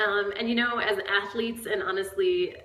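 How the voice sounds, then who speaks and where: A woman's voice: mid-range voice, fairly even intonation, normal speed, sharp tone, reverberant, low-quality recording. A woman fitness coach with a head cold and a stuffed-up voice, talking casually to her viewers with lots of fillers. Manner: chatty, rambling, many fillers. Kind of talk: casual talk to camera. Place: at home.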